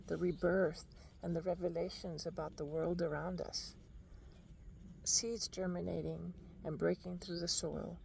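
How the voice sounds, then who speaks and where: A woman born in Argentina, reflecting in a thoughtful, unhurried personal monologue while sitting outdoors on a bench. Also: on the low side, vocal fry, darkish tone, slight background noise, rough recording. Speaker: podcast host.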